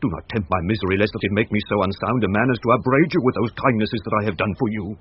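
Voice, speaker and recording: An actor playing a passionate, betrayed sea captain, grave and declamatory, in a Shakespearean radio drama. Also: male voice, fairly low pitch, fairly even intonation, quick pace, husky, no echo. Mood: hurt, pleading. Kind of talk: impassioned Shakespearean radio acting. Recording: dull, band-limited 1970s radio recording.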